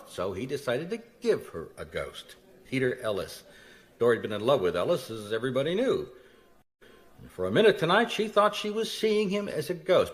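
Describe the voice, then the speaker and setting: A laid-back male detective in a radio drama. His medium-pitched voice is dry and plain-spoken, yet moves freely up and down. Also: a bit bright. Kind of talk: radio mystery drama acting.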